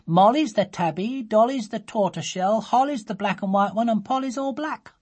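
A woman's voice: medium pitch, moderate pace, darkish tone, slightly rough, voice pushed up, lightly acted. A woman voicing a determined little girl who wants a farm, talking in an earnest, stubborn way. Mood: pleading. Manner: earnest, stubborn, sulky when thwarted.

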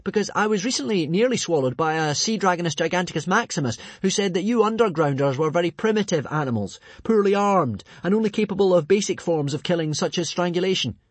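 Male narrator playing a bold young boy, raised pitch, animated and urgent, anxious bluffing in a children's adventure. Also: a little fast, few pauses, breathy edge, no room sound, full character voice. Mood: strained bluffing. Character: plucky quick-thinking young boy hero, anxious but bold. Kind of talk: children's audiobook character voice.

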